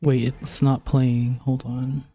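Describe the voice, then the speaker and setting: A man's voice: medium pitch, little pitch movement, slow pace, creaky, mellow, slightly rough. A young man streaming a video game, chatting casually in an informal, slangy way into a close microphone. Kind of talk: casual chat while gaming.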